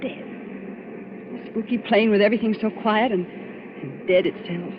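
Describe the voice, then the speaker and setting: An actress playing a frightened, highly strung young woman in 1940s radio drama style. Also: moderate pace, natural voice. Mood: uneasy, eerie. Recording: muffled, noisy old radio broadcast.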